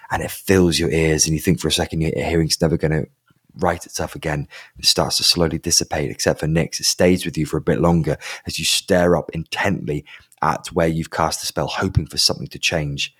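A man narrating a tabletop role-playing game as game master, in slow, dramatic descriptive passages. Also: low-pitched.

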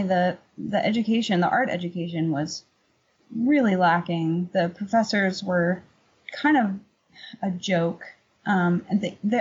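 A middle-aged woman with a husky voice, talking candidly and informally as an interview guest, rambling a little. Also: mid-range voice, darkish tone, muffled recording. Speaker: painter, podcast guest. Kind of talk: casual interview chat.

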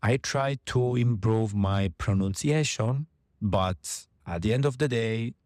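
A man from Italy with an Italian accent, a non-native speaker leaving a hesitant voice message full of pauses. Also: lowish voice, a little mellow, no echo, studio quality. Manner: hesitant, many pauses and fillers, searching for words. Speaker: listener sending a voice message. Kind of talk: recorded voice message.

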